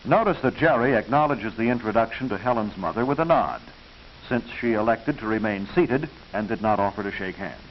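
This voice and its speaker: An American man reading scripted narration for a 1940s educational film, instructive and proper, with measured enunciation. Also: lowish voice, lively intonation. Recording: hissy, muffled 1940s film soundtrack.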